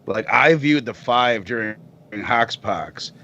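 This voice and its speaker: A man's voice: mid-range voice, lively intonation, slow pace, bright, forceful tone, muffled recording. A man chatting casually and giving his opinions as a podcast guest. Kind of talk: casual chat.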